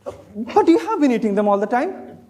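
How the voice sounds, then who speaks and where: A young man playing an earnest, romantic, easily flustered Victorian gentleman in a theatrical stage comedy. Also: very high voice, sing-song, normal speed. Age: young adult. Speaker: student actor in a stage play. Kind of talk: stage comedy of manners acting.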